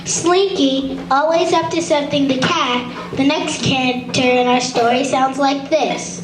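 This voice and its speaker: A female narrator with a very high voice reads a storybook script aloud. She occasionally trips and restarts. The room around her rings with echo. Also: fairly even intonation, unhurried. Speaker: narrator of a shadow puppet show. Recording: echoey room.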